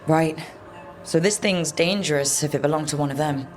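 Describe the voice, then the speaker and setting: A woman's voice: low voice, moderate pace, natural voice. An actress playing an easygoing, wry woman who leads adventurers in a video game, talking casually and dryly. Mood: wry, probing.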